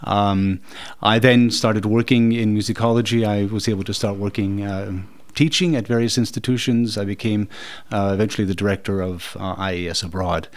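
A somewhat low-pitched man speaks as a guest in a radio studio. He answers thoughtfully, pausing often and filling gaps with hesitations. His talk is relaxed and conversational. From Norway. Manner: thoughtful, hesitant with many fillers. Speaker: guest, festival director.

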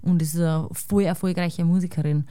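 An Austrian woman with a Salzburg accent, a guest chatting casually and thinking aloud, often ending with a quick tag question. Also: lowish voice, fairly even intonation, dark tone, smooth, no echo, clean studio sound. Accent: Salzburg Austrian German. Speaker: guest musician. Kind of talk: casual conversation.

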